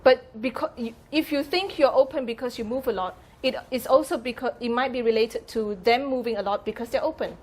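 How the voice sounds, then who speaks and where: A woman's voice: on the high side, a little fast, few pauses, a little mellow. Lecturer woman probing her class. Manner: probing, asks follow-up questions. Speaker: psychology lecturer. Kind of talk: classroom discussion. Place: lecture room.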